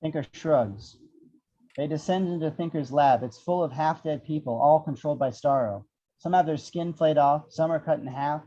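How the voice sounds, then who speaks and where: A man's voice: fairly high pitch, normal speed, warm tone, dull audio. A man reading out the action descriptions of a screenplay as narrator.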